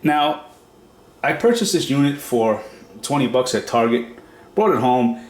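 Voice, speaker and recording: A man's voice: mid-range voice, animated, measured pace, a little hiss or hum. A man with a cold, sounding nasal and stuffed up, explaining a product step by step in a casual home demo. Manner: plain step-by-step explaining with fillers. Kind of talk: casual how-to demonstration. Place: reverberant room at home.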